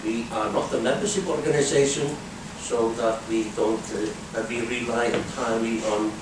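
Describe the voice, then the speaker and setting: A man with an Icelandic accent giving a conference talk, halting with frequent filler pauses. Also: mid-range voice, steady tone, noisy background. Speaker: conference speaker. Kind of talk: workshop talk. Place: conference hall. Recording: echoey hall, distant mic.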